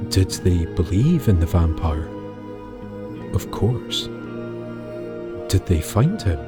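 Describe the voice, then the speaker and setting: A man narrating a scripted tale in a measured way, with dramatic pauses. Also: deep voice, sing-song. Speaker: storyteller, podcast narrator. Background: music bed with guitar.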